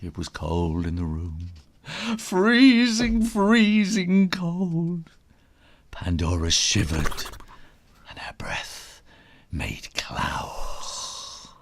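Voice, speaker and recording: Guy reading a kids storybook super slowly, creaky voice, really dramatic and suspenseful, goes whispery, playful with repeated sound words. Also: on the high side, huge pitch swings, a little hiss or hum. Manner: theatrical, suspenseful, drawn-out words and whispered asides; playful onomatopoeia and repetition. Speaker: author reading own children's story. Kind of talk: dramatic children's storybook read-aloud.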